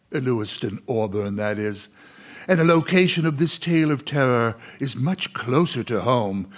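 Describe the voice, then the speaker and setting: A man hosting a radio drama show, introducing tales in a wry, ominous, theatrical manner. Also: mid-range voice. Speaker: host. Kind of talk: scripted storytelling introduction in old-time radio manner.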